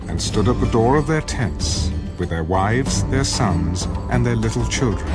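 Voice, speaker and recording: A man narrating a dramatized scripture story in a scripted, storytelling style. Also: fairly low pitch. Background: music.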